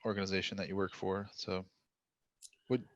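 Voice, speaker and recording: A man's voice: deep voice, flat delivery, moderate pace, dry recording. A man interviewing a guest in a casual podcast, giving brief agreement and easygoing questions. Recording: dull, dry lo-fi audio.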